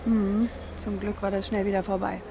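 An actress playing a sober, pragmatic middle-aged wife in a naturalistic radio drama, giving terse, matter-of-fact replies. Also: female voice, medium pitch, flat delivery, normal speed, close to an everyday voice. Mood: dry, dismissive. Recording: urban traffic ambience.

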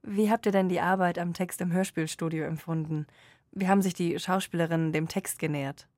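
Lowish-voiced woman asking interview questions. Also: steady tone, fairly quick, a little mellow, no room sound.

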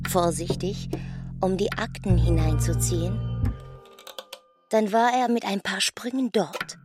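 A woman's voice: mid-range voice, steady tone, measured pace, music in the background. A woman delivering scripted literary narration in an audio drama.

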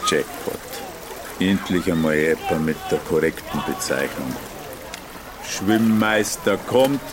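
Radio drama: flirtatious pool attendant, deep, terse, Bavarian-Austrian, amid clear splashing noise. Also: male voice, slow pace. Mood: cocksure, flirtatious. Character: laconic, cocksure flirtatious swimming-pool attendant.

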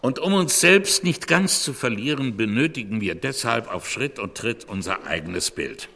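A man's voice: medium pitch, expressive, moderate pace, vocal fry, sharp tone, full character voice. An actor playing an earnest male cultural critic who delivers a bleak monologue in a rhetorical, emphatic way, as in a radio drama. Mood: earnest, urgent. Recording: long hall reverb.

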